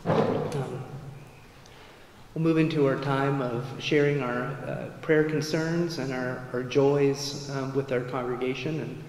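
A man leading a congregation into prayer time, unscripted and halting with fillers. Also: medium pitch, steady tone, darkish tone. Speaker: pastor. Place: large church sanctuary. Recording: echoey church from afar, faint noise.

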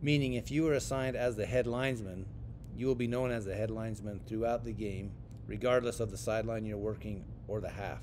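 Low-voiced American man, measured instructional delivery, scripted training narration, rumbling noise behind. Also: darkish tone. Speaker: football officials' trainer. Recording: clear rumbling background noise.

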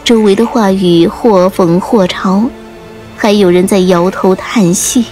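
Unhurried woman narrating an audiobook. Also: mid-range voice, fairly even intonation, warm tone. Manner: measured, literary. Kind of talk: dramatic scripted storytelling narration. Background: faint music.

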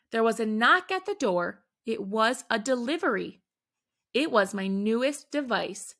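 A woman reading a picture book aloud to children, at an unhurried, deliberate pace. Her voice sits a little high and sounds bright, with a gravelly edge. She leans into the big moments with animated, dramatic emphasis. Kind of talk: expressive read-aloud storytelling.

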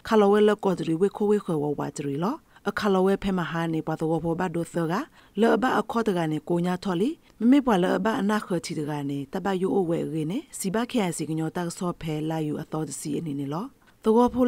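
Female news reader, lowish voice with vocal fry, dry studio sound. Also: brisk, darkish tone, no echo. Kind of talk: scripted radio news reading.

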